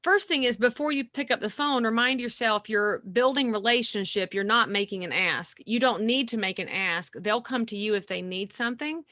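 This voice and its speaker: A woman talks in a bright, ringing, somewhat high voice. She speaks with folksy, energetic animation, and the sound is dry and close to the mic. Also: moderate pace, hardly any pauses, no room sound. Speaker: guest realtor. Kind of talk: podcast interview.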